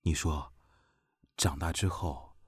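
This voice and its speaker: A man's voice: a bass voice, fairly even intonation, slow pace, slightly rough, no echo, clean studio sound, exaggerated voice. A man playing a proud, brooding young military officer in an intense, dramatic radio drama style. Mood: quietly teasing. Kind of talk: Chinese radio drama acting.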